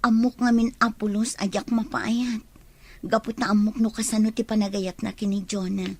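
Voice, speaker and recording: A woman's voice: medium pitch, flat delivery, normal speed, darkish tone, natural voice. A woman playing a young woman secretly in love with her friend's boyfriend, in a radio-style drama. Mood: lovelorn.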